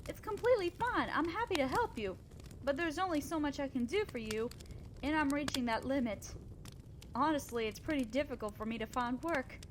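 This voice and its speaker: A woman playing a kind, selfless, self-doubting female centaur in a roleplay audio drama, speaking earnestly, gently and hesitantly to the listener. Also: high-pitched, lively intonation, a little fast, a little hiss or hum.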